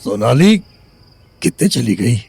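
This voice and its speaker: Man playing gruff, hot-tempered small-town father. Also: medium pitch, big pitch swings, takes it slowly, darkish tone, exaggerated voice. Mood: searching, calling. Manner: gruff, threatening, rustic speech. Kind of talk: Hindi audio story drama. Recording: background music under the voice.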